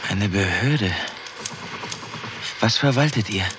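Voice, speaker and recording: An actor playing a frightened, defiant young student in a 1960s German radio drama, protesting and questioning insistently. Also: male voice, lowish voice, lively intonation, slow pace. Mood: uneasy questioning. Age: young adult. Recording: noisy old radio drama recording.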